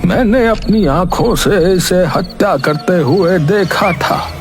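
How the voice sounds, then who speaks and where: Slow, high-voiced old man played by a man. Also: over music. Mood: grave. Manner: slow, drawn-out, earnest delivery. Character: old foreign man, solemn wise family friend. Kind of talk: dramatic Hindi cartoon dialogue.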